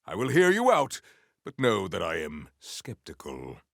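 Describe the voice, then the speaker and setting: A man's voice: lowish voice, wildly swooping pitch, a little slow, gravelly, a bit bright, no echo, studio quality, full character voice. A man playing a solemn, proud warrior in a video game, speaking with formal, stately diction and dramatic weight. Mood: earnest, stately. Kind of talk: scripted game character lines.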